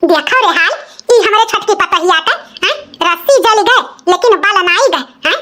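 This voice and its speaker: A man's voice: extremely high pitch, expressive, moderate pace, bright, forceful tone, heavily acted. A man voicing an indignant old village mother-in-law in a comic cartoon, grumbling scornfully with an Awadhi accent.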